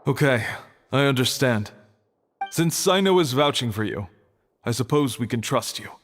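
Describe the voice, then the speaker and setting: A man plays a serious scholar who keeps an academy's archive in a video game. He is reserved and measured, with a medium-pitched voice and a matter-of-fact delivery. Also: animated, faint music behind, close to an everyday voice. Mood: guarded.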